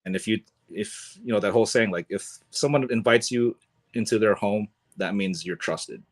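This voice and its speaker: A man speaking as a guest on a podcast, relaxed and chatty, leaning on lots of fillers. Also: fairly low pitch, normal speed. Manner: relaxed, filler-heavy, sprinkled with "you know". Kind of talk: casual conversation.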